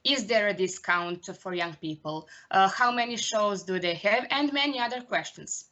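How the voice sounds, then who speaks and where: A woman of about twenty with a Serbian accent, giving a careful, halting presentation over a video call as a youth portal editor. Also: medium pitch, animated, fairly bright tone, dull audio. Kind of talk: conference presentation.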